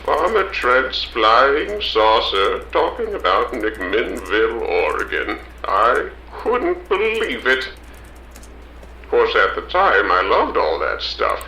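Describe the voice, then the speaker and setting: A man's voice: mid-range voice, huge pitch swings, slow pace, sharp tone, echoey room, slight background noise, heavily acted, poor audio. A man playing a nostalgic old small-town man who rambles and stammers fondly in an audio drama. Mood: wistful. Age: older.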